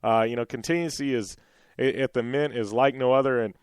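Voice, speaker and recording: Man, radio host, slightly raspy, rambling with fillers, smooth resonant tone, dry close sound. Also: medium pitch, fairly even intonation, a little fast, no echo. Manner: rambling, many fillers and restarts. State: slightly raspy from losing his voice. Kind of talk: casual radio talk.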